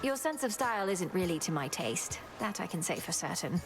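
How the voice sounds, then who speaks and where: A woman's voice: on the low side, very animated, brisk. A woman playing a composed young witch heroine in a video game, formal and dry in manner. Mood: polite, dry. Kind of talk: game character acting. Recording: loud music behind.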